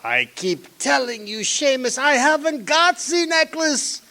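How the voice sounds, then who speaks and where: A man's voice: extremely high pitch, big pitch swings, a little slow, bright, forceful tone, heavily acted. A man playing a natty little German criminal henchman in a comic radio detective drama, with a put-on German accent and theatrical, twisted syntax. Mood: boastful, sly. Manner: inverted syntax, taunting, theatrical.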